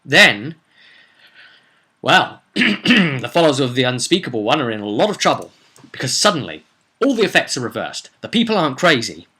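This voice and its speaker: A man's voice: medium pitch, very animated, moderate pace, pushed and bright, breathy edge. A man telling the story of a tabletop roleplay session as game master, in a rambling, conversational way with repeated phrases.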